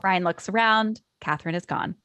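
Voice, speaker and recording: A woman reads stage directions as narrator for a script table read. The sound is dry and close, with a medium pitch. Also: moderate pace, pushed and bright, smooth, no echo.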